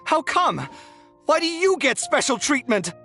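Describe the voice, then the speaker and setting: A young man voicing an excitable, exasperated architect in a video game, with dramatic, emphatic delivery. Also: very high-pitched, unhurried, heavily acted. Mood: indignant. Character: excitable, indignant, debt-ridden young male architect. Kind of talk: animated video game voice acting. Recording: studio voice over game music. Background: game music.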